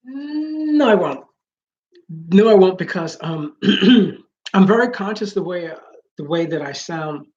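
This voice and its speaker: A man with a naturally high voice who talks loudly, rambling with many fillers and restarts in a casual live chat with his viewers. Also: animated, slow pace, dull audio. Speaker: vlogger.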